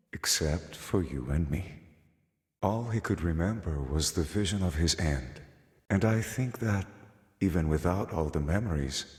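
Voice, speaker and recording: An actor playing a solemn, fatalistic prophet of a god of fear in a video game, speaking in a brooding, philosophical way. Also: male voice, sits low. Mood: calm, cryptic. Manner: slow, brooding, philosophical delivery. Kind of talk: dramatic video game voice acting. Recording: long cavernous reverb.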